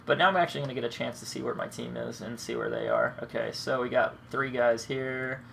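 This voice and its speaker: A young man talks through his match in a relaxed, rambling way, dropping curses as he goes. His nose is blocked from a cold, giving him a congested, sniffly sound. His pitch sits in an ordinary middle range, with a faint hiss of background noise. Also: fairly quick, vocal fry. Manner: rambling, laid-back, swears freely. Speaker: gaming commentator. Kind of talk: casual commentary over gameplay.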